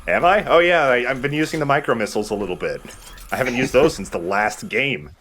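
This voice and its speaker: A man's voice: medium pitch, expressive, quick pace, a bit bright, a little hiss or hum. A young man casually commentating on a video game with friends in a jokey manner. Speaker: let's play host and player.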